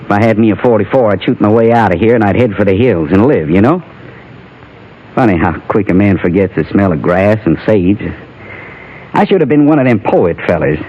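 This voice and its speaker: Low-voiced, drawling Old West outlaw. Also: male voice, animated, fairly quick. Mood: wistful. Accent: American. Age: 30s. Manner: rustic frontier drawl, folksy grammar. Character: condemned Old West outlaw, thirty-six, bitter, wistful, unschooled. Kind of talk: 1950s radio western acting. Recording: dull lo-fi vintage radio recording.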